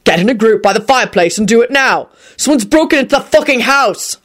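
A woman voicing a gruff, irritable father who gives curt, commanding orders in a storybook reading. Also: a little high, animated, a little fast, voice pushed up. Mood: furious, urgent.

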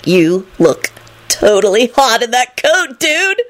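A woman voicing a lovesick, excitable young male slacker in an audiobook, gushing and overwrought. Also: a little high, takes it slowly, pitched above the performer's own voice. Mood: ecstatic, lovesick.

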